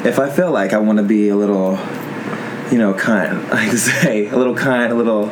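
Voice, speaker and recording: A man's voice: lowish voice, moderate pace, noisy background. A man chatting casually as a guest co-host. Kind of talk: casual chat.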